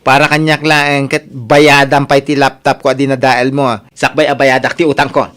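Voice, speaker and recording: A man's voice: mid-range voice, flat delivery, normal speed, a bit bright, clear, resonant tone, lightly acted. A man with an Ilocano accent playing a brazen, evasive young swindler in a comedy radio drama, with a whiny, defiant delivery.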